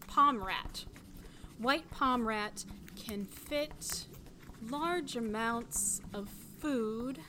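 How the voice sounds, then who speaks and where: A woman playing a curious, wry field scientist in an audio drama, talking to herself in halting musings. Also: a little high, noisy background.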